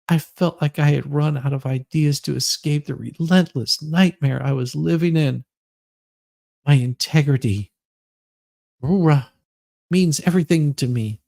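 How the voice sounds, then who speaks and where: A man acts out an aggrieved woman in her thirties, solemn and theatrical, in a comic dramatic reading. The voice is gravelly and dry, heavy with self-pity. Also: fairly high pitch, a little mellow, no echo. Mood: solemn, defensive.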